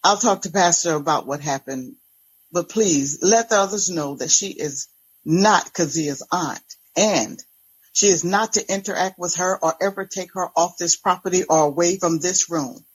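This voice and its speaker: A woman's voice: on the low side, moderate pace, husky, low-quality recording. A woman voicing a composed, resolute pastor's wife in a first-person story. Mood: tactful.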